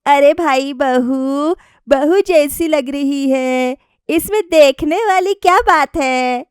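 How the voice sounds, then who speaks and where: A woman's voice: high-pitched, slow, no room sound, clean studio sound. A woman voicing a bossy, unimpressed middle-aged mother-in-law in a story, brusque and instructing.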